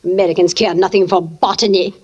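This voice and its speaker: An actress playing an imperious, belittling middle-aged wife who scolds and gives orders in 1940s radio suspense style. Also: female voice, fairly low pitch, steady tone, fairly quick, a little mellow, lightly acted. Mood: scolding. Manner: imperious, scolding, clipped commands. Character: bossy, nagging, belittling wife. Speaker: radio drama actor. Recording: muffled old radio recording.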